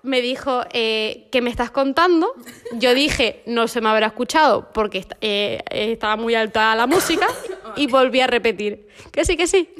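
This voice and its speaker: Una mujer joven con acento de España, invitada en un pódcast, charlando y contando anécdotas entre risas. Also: female voice, fairly high pitch, normal speed, a bit bright. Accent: Spain Spanish. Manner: chatty, laughing storyteller. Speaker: podcast guest. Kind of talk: casual conversation.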